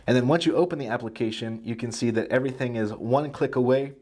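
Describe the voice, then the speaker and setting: A man's voice: mid-range voice, fast, a little mellow. Male tutorial presenter, mid-range voice, plain-spoken and casual, guiding viewers through a product step by step.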